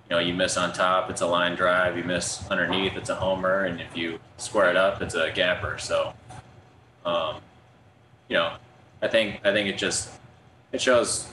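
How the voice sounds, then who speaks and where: Deep-voiced young man at a press conference. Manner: soft-spoken, many ums and you-knows. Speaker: baseball player. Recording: echoey room with background noise.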